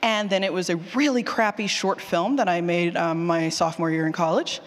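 A woman presents casually to a room in a reverberant hall. She is candid and animated, poking fun at herself and voicing her own thoughts as she goes. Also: fairly low pitch, a little fast. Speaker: presenter, fiction podcast writer. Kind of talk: casual conference talk with audience questions. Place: indoor session room with long reverb.

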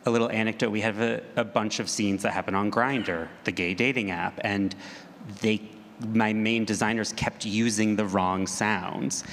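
A man's voice: on the low side, a bit bright. A man speaking as a panelist, telling things informally and without a script. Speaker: panelist, audio drama creator. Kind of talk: unscripted anecdotal panel talk. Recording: reverberant hall, background noise.

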